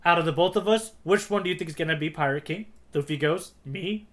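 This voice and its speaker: A man's voice: fairly high pitch, brisk, fairly bright tone. A man co-hosting a podcast, talking and retelling in a casual, wry, colloquial way. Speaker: podcast co-host, recaps manga chapters.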